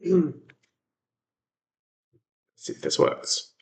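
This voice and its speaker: A man hosting a casual livestream, talking to his viewers in a chatty, halting way. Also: a little mellow. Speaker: livestream host.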